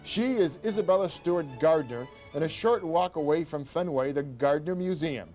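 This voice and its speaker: A man's voice: a little high, lively intonation, normal speed, darkish tone, soft background music. An older man hosting a travel show, giving upbeat, conversational tour-guide narration.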